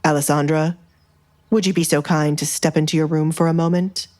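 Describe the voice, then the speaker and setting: A woman's voice: low-pitched, steady tone, a little fast, a little mellow, lightly acted. A woman voicing a middle-aged baron, a polite, stiff and evasive father, in an audiobook. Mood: stiff politeness. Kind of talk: audiobook character voice. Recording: music bed behind voice. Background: background music.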